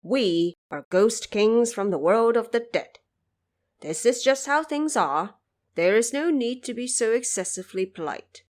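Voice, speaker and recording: A woman's voice: a little high, lively intonation, a little slow. A woman voicing the solemn, courteous male ghost kings of the underworld in a formal, declamatory storybook style. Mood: courteous, stately.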